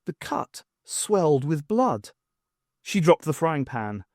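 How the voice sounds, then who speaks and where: A man's voice: fairly high pitch, very animated, slow pace, darkish tone, dry recording, clean studio sound. A man narrating a fiction audiobook in a scripted storytelling style.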